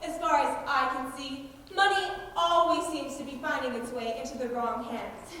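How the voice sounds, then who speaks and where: A brash female outlaw chief, acted by a young woman in a stage comedy. Her high voice is projected with theatrical scorn. It rings through a very echoey hall, caught from a distance with faint noise. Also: fairly even intonation, normal speed, fairly bright tone. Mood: proud, scornful. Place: school auditorium stage.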